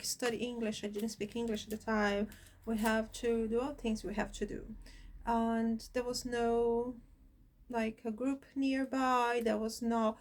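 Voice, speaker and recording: A woman in her forties from Brazil with a Brazilian Portuguese accent, talking to camera about her life in her second language, pausing often to find words. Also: a little high. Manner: hesitant, searching for words, many fillers. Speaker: channel host. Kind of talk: personal talk to camera.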